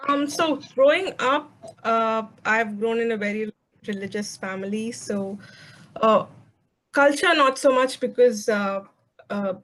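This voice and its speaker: A woman from India, speaking as a panel guest and pausing on many uhs. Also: fairly high pitch, a bit bright, low-quality recording. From South India. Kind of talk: casual panel talk.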